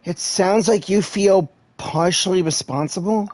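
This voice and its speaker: A man's voice: high voice, unhurried, a little mellow. A man doing casual, conversational live game commentary and reading dialogue along. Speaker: gaming streamer playing along. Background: game music.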